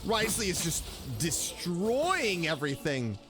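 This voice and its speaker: A man's voice: high voice, big pitch swings, measured pace. A man giving casual, playful VTuber gameplay commentary, exclaiming and repeating himself as he plays. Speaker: VTuber game streamer. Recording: game music playing behind. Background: video game music.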